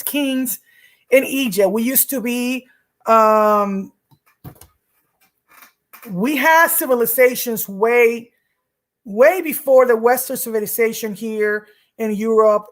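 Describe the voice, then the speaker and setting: Earnest woman vlogging. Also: fairly high pitch. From Puerto Rico. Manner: earnest, emphatic, repeats words. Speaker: vlog host. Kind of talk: casual talk to camera.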